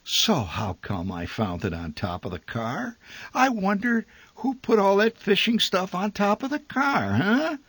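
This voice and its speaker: A man voicing a blustering, short-tempered middle-aged father who shouts and curses at his family. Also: mid-range voice, sing-song, extremely slow, no echo, pitched above the performer's own voice, exaggerated voice. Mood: sarcastic. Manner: shouting, cursing, exasperated.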